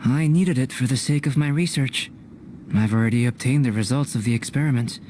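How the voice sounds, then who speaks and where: An actor playing a calm, scholarly young alchemist in a video game, speaking in a measured, formal, detached way. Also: male voice, medium pitch, lightly acted. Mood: calm, assured. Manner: measured, formal, analytical delivery. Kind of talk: scripted video game cutscene acting. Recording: clear noise behind the voice.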